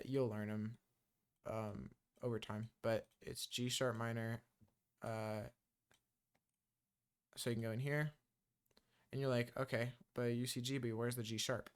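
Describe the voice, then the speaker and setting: A man walks through a beginner software tutorial in a relaxed, rambling way. He fills his sentences with ums and uhs. His voice is smooth and resonant, sitting at an ordinary middle pitch. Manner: loose and chatty, many ums and uhs. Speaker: music production tutor. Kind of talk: casual screen-recorded software tutorial.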